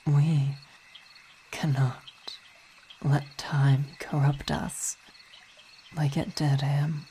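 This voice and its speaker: A woman as a deep-voiced, weary vampire queen. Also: level tone, slight background noise. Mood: worried, earnest. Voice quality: soft, often whispered. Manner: halting, reflective, sighing. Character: weary, devoted female vampire ruler and wife. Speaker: ASMR roleplay performer. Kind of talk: ASMR roleplay.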